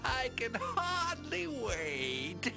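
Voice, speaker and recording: A mocking, irritable old master in a cartoonish game. The voice is high and swoops about, stretching words very slowly and theatrically. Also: female voice, wildly swooping pitch, gravelly, over music, full character voice. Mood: manic mocking. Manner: cackling, exclamatory, drawn-out. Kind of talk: cartoonish video game acting.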